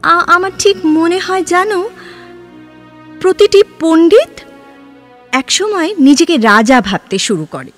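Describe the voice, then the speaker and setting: Actress doing a romantic curious young woman in an audio play, high voice, unhurried, playful. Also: lively intonation, dry recording, faint music behind, exaggerated voice. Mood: dreamy, musing. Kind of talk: Bengali audio drama dialogue.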